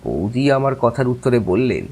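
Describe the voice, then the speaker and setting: A man narrating a scripted audio story in the first person, with a wry, expressive delivery. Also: medium pitch, normal speed, vocal fry.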